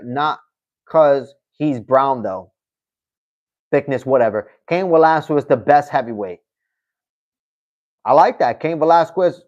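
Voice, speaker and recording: A man's voice: a little high, muffled recording. A man hosting a casual livestream, reading out viewer comments and answering them in a loose, chatty way, often adding "you know what I mean.". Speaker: livestream host.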